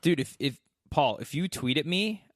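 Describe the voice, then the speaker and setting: Guy cohosting a chatty podcast, rambles a lot, lively pitch, dry close mic. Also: normal speed, no room sound. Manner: rambling, lots of fillers. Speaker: podcast co-host. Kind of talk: casual chat.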